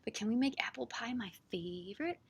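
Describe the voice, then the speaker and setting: A woman voicing an eager, playful little girl from a picture book, with an excitable, pleading manner. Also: a little high, a little fast, breathy edge. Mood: excited.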